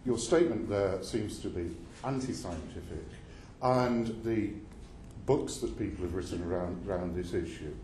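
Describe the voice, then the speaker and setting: A man presses a witness with pointed questions, his voice low-pitched and mellow. He keeps tripping over his words and restarting, never letting go of the point. The room is large and echoey, with a faint hiss underneath. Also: normal speed. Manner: pressing, insistent, stumbles and restarts. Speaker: committee member questioning a witness. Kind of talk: parliamentary committee questioning. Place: parliamentary committee room. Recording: roomy hall sound with faint noise.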